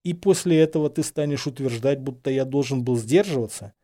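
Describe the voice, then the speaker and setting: A man's voice: medium pitch, a little fast, warm tone, lightly acted. A man voicing a hot-headed, stubborn teenage wizard boy in an audiobook, blunt and indignant. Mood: angry. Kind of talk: dramatised fan fiction audiobook.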